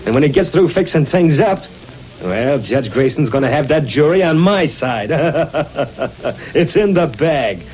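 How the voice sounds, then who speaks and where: An actor playing a cocky, stubborn gangster boss with tough slangy talk in an old radio drama, on a hissy recording. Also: male voice, on the high side, animated, measured pace, darkish tone, faint music behind, rough recording. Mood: cocky, defiant. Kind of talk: 1930s-40s radio drama acting.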